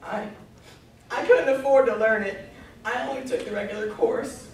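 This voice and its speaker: A woman's voice: a little high, moderate pace, lightly acted. Woman playing a mournful Mock Turtle, very echoey. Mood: nostalgic, ponderous. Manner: mournful, ponderous, easily offended. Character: sorrowful, huffy old Mock Turtle telling schooldays. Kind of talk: readers' theatre performance. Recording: echoey noisy room from far off.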